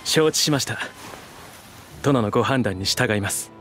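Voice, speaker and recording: A man's voice: mid-range voice, normal speed, a bit bright, soft background music, close to an everyday voice. Formal, precise court adviser played by a man. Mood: composed, deferential. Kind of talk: Japanese video game cutscene acting.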